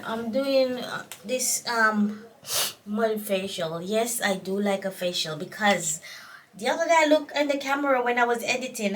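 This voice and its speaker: A middle-aged woman with a Jamaican accent, vlogging casually while congested from a cold, talking in a slow, tired, rambling way. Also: fairly high pitch, fairly bright tone, distant mic, a little hiss or hum. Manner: slow, tired, rambling talk with many fillers. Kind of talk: casual vlog chat.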